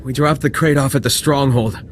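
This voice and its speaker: An actor playing a desperate, sick villager and devoted husband in a video game, emotional and faltering. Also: male voice, medium pitch, steady tone, moderate pace, slight background noise, close to an everyday voice. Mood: relieved, grateful. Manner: pleading, stammering, emotional. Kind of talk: video game dialogue acting.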